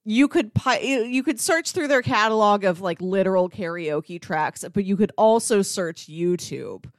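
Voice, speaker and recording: A woman's voice: medium pitch, expressive, moderate pace, no room sound, studio quality. A woman co-hosting a movie podcast with casual, playful, mock-earnest chat. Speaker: podcast co-host.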